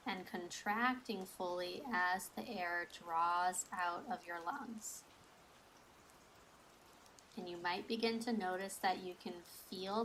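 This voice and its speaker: Slow-paced woman guiding a meditation. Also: mid-range voice, a bit bright, slight background noise, low-quality recording. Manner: soothing, measured, instructional. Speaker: meditation and breathwork instructor. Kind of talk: guided meditation.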